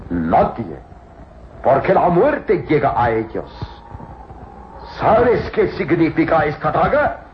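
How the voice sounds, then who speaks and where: Casting: cruel radio-serial villain, high-pitched, theatrical and gloating, on a noisy, echoing old recording. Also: male voice, huge pitch swings, takes it slowly, exaggerated voice. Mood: menacing. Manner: theatrical, menacing, sneering. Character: cruel, gloating German villain, murderous tomb robber. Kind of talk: old Latin American radio serial acting. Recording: muffled, noisy old radio drama, roomy echo.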